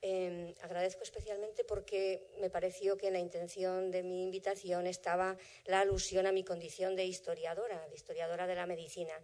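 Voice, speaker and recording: Low, level-voiced woman lecturing. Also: level tone, fairly quick, hardly any pauses, darkish tone. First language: Basque. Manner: reflective, thoughtful, carefully worded. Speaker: invited speaker, historian of medicine. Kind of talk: formal conference lecture.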